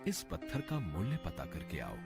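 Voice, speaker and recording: A man voicing a serene wise sage in a devotional story, speaking in a measured, solemn way. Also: low voice, wildly swooping pitch, vocal fry, pitched below the performer's own voice, exaggerated voice. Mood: calm, solemn. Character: serene wise sage teaching a troubled disciple. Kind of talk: devotional moral story dramatization. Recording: music behind the voice.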